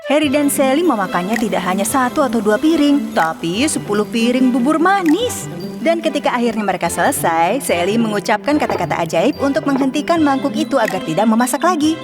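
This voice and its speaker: A woman narrating a children's cartoon story in a calm, clear storytelling manner. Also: fairly high pitch, fairly quick. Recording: music behind.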